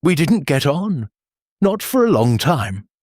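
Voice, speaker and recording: A bitter English gentleman under suspicion, acted by a male storyteller in an audiobook mystery. He speaks in clipped, defensive phrases with a husky edge and restless, lively pitch. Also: slow, dry recording, clean studio sound, full character voice. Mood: subdued, candid. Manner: flat, clipped, resentful. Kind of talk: audiobook character voice.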